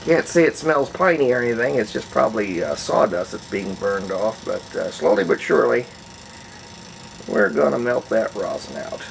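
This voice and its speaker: A man giving a casual hands-on demonstration, unhurried and folksy, thinking aloud as he works. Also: mid-range voice, very animated, vocal fry, a little hiss or hum, rough recording. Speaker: hobbyist restorer and video host.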